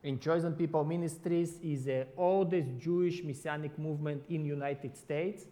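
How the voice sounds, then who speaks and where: Man preaching in halting English. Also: on the high side, steady tone, mellow. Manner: halting non-native English with many restarts. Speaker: ministry worker. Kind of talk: sermon.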